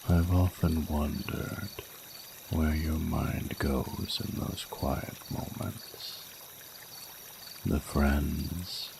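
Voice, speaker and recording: An ancient, regal dragon king, played by a man, speaks in an exceptionally low rumble thick with gravelly fry. He is slow, formal and intimate, a tender, flirtatious lover in an audio romance, with clearly audible watery noise behind him. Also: heavily acted. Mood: tender, wistful. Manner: formal, intimate, archaic courtly phrasing. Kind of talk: scripted romantic audio roleplay. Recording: noticeable noise, running water sounds.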